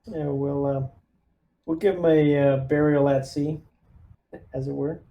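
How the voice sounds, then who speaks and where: A man's voice: fairly high pitch, fairly even intonation, unhurried, highs cut off, a little hiss or hum. A man chatting casually as a player in a roleplaying game, thinking aloud with some hesitation. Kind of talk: casual chat during a game.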